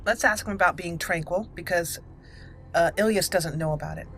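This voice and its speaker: A woman's voice: lowish voice, normal speed, a bit bright, a little hiss or hum. A woman giving casual let's play commentary, thinking aloud in a chatty way as she plays. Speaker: game streamer narrating a roleplay playthrough.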